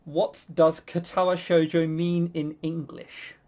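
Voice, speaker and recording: Male quiz host, slightly high voice, casual banter with a playful, needling edge. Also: little pitch movement, slow, darkish tone. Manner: teasing, jokey.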